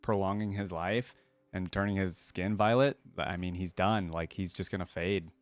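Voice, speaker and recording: A man's voice: low voice, steady tone, moderate pace, dry recording. A man hosting a reaction video, giving casual, informal commentary and repeating phrases for emphasis.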